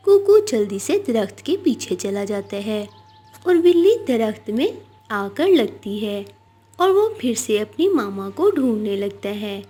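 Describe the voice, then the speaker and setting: A woman reads the narration of a children's animated story. She takes it at a slow pace, as a scripted storyteller. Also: fairly high pitch, husky.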